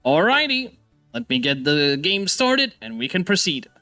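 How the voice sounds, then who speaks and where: Bright, goofy man hosting a game stream. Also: on the high side, big pitch swings, soft background music. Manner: goofy, upbeat, fast-talking. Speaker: stream host. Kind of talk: casual gaming stream chat.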